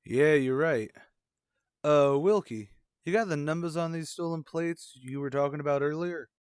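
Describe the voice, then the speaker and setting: A man's voice: a little high, normal speed, clean tone, no room sound, studio quality, lightly acted. A man playing a weary, dogged police detective sergeant in a radio crime drama, speaking plainly. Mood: determined.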